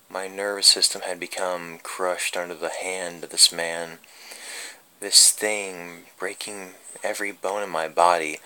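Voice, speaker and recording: A man's voice: sits low, bright, forceful tone, exaggerated voice, poor audio. A man playing a melancholy, tormented dead puppeteer in a creepy horror story reading, with brooding theatrical delivery. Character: creepy undead puppeteer, melancholy tormented young man's ghost. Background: music.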